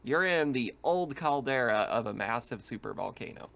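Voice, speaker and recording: A man's voice: medium pitch, lively intonation, normal speed, creaky, fairly bright tone, muffled recording. A man casually narrating and explaining a tabletop game as game master.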